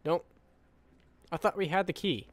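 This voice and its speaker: A man's voice: fairly high pitch, a little slow, no echo. A man giving casual, rambling let's-play commentary, sounding tired with a worn voice, repeating phrases to himself. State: tired, voice wearing out. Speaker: gaming video creator.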